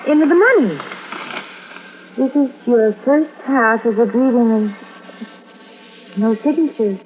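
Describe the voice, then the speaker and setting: Inquisitive fretful wife, high-pitched, very lively intonation, American, earnest, old-time radio drama, tinny recording. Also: female voice, unhurried, background noise. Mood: excited, anxious. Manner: questioning, earnest. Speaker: supporting actress. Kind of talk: 1950s radio drama acting.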